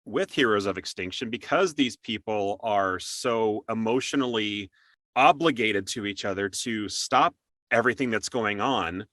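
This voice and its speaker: Middle-aged guy hosting a chat, bit lower voice, bright, really dry sound. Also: unhurried, dry recording. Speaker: interview host. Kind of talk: casual conversational interview.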